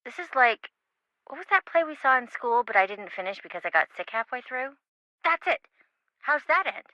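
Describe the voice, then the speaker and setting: An actress playing a frank, chatty young friend with a casual, blunt manner in an adventure game. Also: female voice, on the high side, very animated, normal speed, sharp tone, dry recording, highs cut off. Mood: puzzled. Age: young adult. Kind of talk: scripted adventure game dialogue.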